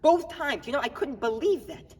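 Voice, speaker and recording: A young man performing a dramatic monologue as a defiant, grieving baker, agitated and wry, with halting, streetwise talk. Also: sits high, big pitch swings, breathy edge, heavily acted. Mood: agitated, anguished. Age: young adult. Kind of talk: dramatic monologue at a speech contest. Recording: echoey hall, recorded from far off.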